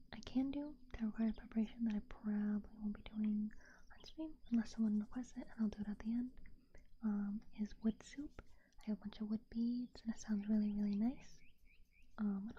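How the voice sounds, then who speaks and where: A woman streaming ASMR in a gentle, breathy whisper, chatting casually and unhurriedly, very close to the microphone. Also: a little high, fairly even intonation, moderate pace, lo-fi sound, slight background noise. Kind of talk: ASMR, casual chat with live viewers. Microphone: binaural ear microphone close to the face.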